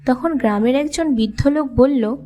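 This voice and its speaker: High-voiced woman narrating a ghost story. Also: normal speed, dark tone. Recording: background music behind the voice.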